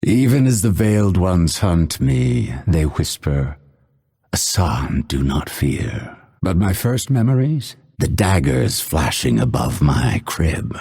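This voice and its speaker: Game role: vengeful male assassin, menacing and ominous, unhurried pace, dramatic rises and falls. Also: very deep voice, sing-song, darkish tone, breathy edge, exaggerated voice. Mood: bitter defiance. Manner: measured, theatrical, ominous delivery. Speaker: video game voice actor. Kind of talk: dramatic game character voice lines.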